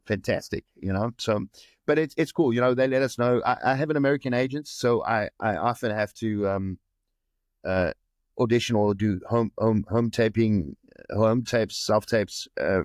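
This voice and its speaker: A South African actor in his forties, telling stories in a lively way and often repeating words, speaking over a video call from a room with a plain wall. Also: fairly low pitch, no echo, studio quality. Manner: animated storyteller, often repeats words, slips into other accents. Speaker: actor guest.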